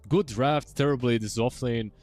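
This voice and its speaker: A young man casting an esports match, chatting in a relaxed way and often trailing off mid-sentence. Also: medium pitch, moderate pace, no echo. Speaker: esports caster. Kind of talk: casual esports commentary.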